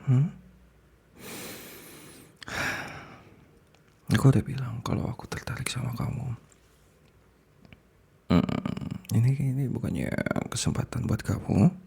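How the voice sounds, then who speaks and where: A man playing a possessive, smitten male boss in an intimate ASMR roleplay, speaking haltingly with an Indonesian accent in a breathy, gravelly voice that slips into whispers. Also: mid-range voice, mellow, exaggerated voice. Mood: probing, flirtatious. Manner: halting, sighing, repeats words, slips into whispers.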